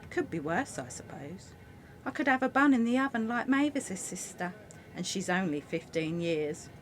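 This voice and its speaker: A woman's voice: medium pitch, very animated, normal speed, a little hiss or hum, lightly acted. A woman playing a weary, grumbling teenage factory girl in a wartime radio play monologue. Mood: resigned. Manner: complaining, resigned.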